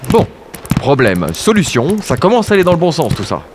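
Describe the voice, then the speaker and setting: A man's voice: fairly high pitch, huge pitch swings, brisk, long reverb tail, very noisy. A man playing a determined, earnest insect war veteran in an audio drama. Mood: confident.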